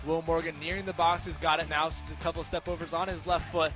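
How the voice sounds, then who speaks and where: A young American man giving live radio sports commentary, moving between measured description and excited, shouted calls. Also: on the high side, over music. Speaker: play-by-play sports announcer.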